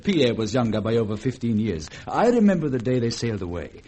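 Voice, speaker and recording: An actor playing a deferential, gossipy Creole government aide with a French accent, telling tales politely and haltingly in a vintage radio drama. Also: male voice, mid-range voice, sing-song, mellow, husky. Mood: earnest.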